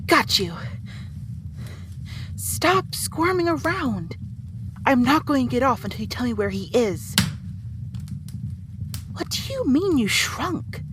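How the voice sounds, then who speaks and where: A woman's voice: sits high, big pitch swings, slow pace, long pauses, background noise, exaggerated voice. A woman playing a small, defiant rat princess warrior in an audio roleplay, brash and threatening but easily flustered. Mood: urgent, exasperated. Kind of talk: dramatic audio roleplay acting. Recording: music behind the voice.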